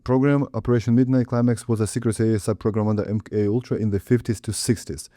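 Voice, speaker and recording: A man hosts a casual interview, asking questions in a halting, measured way. His voice sits low and mellow. The sound is dry and close, with no room around it. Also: steady tone, no echo. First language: Russian.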